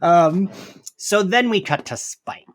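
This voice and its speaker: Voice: medium pitch, animated, slow pace, dry recording. Chatty podcast host, dry close sound. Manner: chatty, loose, peppered with "like". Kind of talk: casual fan podcast discussion.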